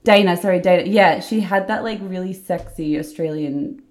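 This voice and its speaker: A woman's voice: on the low side, normal speed, crowd noise. A woman co-hosting a podcast, giggly and teasing in casual chat. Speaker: podcast co-host.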